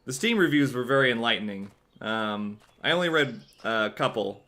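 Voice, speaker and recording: A man's voice: medium pitch, lively intonation, normal speed, bright, forceful tone. A man giving sarcastic, joking let's play commentary while playing a game. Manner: sarcastic, dry jokes about the game. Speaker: game streamer.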